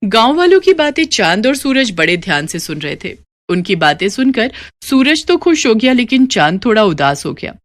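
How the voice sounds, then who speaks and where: A woman's voice: on the high side, very animated, normal speed, no room sound. A woman reading scripted narration for a children's moral story.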